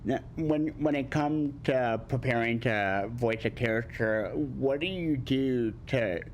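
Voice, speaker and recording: A man's voice: medium pitch, slow pace, slight background noise. A man interviewing a guest, asking questions in a stumbling way, often repeating and correcting words. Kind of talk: casual Q&A interview.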